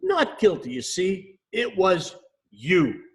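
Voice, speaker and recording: Man acting a frightened possum, slow and indignant. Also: sits high, huge pitch swings, fairly bright tone, pitched above the performer's own voice, heavily acted.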